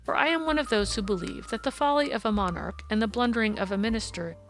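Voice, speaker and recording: A woman narrator plays an analytical Victorian detective in a storybook. He is courteous and sure of himself, laying things out in precise, formal sentences. The voice sits fairly high, over faint music. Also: fast, gravelly, fairly bright tone. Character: brisk, analytical male Victorian detective, courteous and self-assured.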